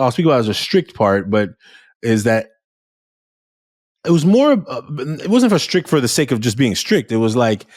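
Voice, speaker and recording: A man hosting an interview podcast, talking in a loud, animated way with frequent restarts. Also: mid-range voice, no room sound, studio quality. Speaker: podcast host. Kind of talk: casual interview chat.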